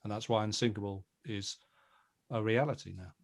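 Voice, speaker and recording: A man's voice: on the low side, fairly even intonation, normal speed. A middle-aged man giving a reflective, earnest and emphatic interview answer as a producer. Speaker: audio drama producer and director, interview guest.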